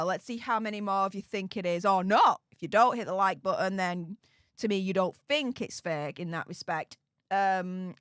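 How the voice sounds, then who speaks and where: A chatty woman with a Yorkshire accent hosts a livestream and reads out her viewers' comments. Her voice sits a touch high and animated, and it sounds dry and close, with no room behind it. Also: dry recording. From Yorkshire, England. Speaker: YouTube host reading live chat. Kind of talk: casual livestream chat.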